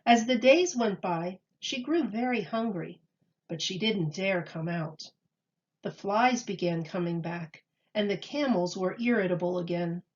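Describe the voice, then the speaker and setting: A woman reading a children's story aloud with expressive, measured storytelling. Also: mid-range voice, lo-fi sound. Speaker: storybook reader.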